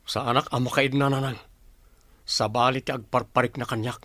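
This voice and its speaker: An actor playing a determined, worried young village son in an Ilocano radio drama, earnest and insistent, with an Ilocano accent. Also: male voice, mid-range voice, steady tone, moderate pace, bright, forceful tone, dry recording, lightly acted. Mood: worried determination.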